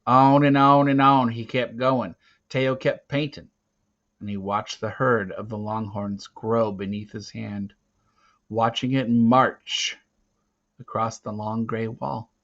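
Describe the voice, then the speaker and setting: A man reading a story aloud as narrator, with a dramatic, expressive delivery. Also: mid-range voice, slow pace. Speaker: reader of a children's novel. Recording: dull, lo-fi recording.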